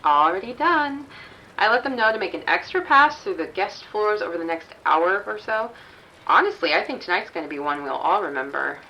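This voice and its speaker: A woman's voice: mid-range voice, animated, moderate pace, bright, forceful tone, highs cut off. A woman playing an upbeat, observant hotel employee in an audio drama, talking in a conversational, descriptive way. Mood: pleased, reflective. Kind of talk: radio drama dialogue.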